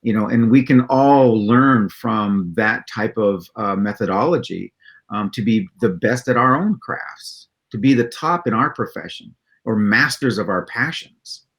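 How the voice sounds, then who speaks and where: Male co-host, fairly low voice, casual off-the-cuff livestream discussion. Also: a little slow, a bit bright.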